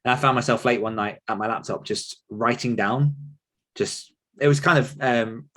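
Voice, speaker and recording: A man's voice: mid-range voice, moderate pace, a bit bright. A man speaking earnestly and conversationally as an author coach being interviewed.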